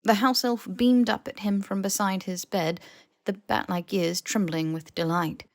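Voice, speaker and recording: A woman reading fiction as a scripted audiobook narration, in an expressive, wry storytelling manner. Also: medium pitch, a little slow, dry recording, studio quality. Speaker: podfic narrator.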